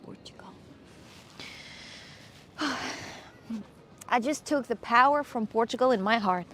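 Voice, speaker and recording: A woman from Russia, streaming casual chat on a street with her phone, excitable and playful. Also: fairly high pitch, slow pace.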